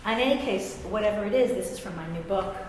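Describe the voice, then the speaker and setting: A woman's voice: medium pitch, quick pace, darkish tone. American woman, middle-aged, wry author at a live reading; very reverberant hall, faint noise. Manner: wry, self-deprecating, conversational. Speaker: author and English professor. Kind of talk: public reading of a personal essay, with asides.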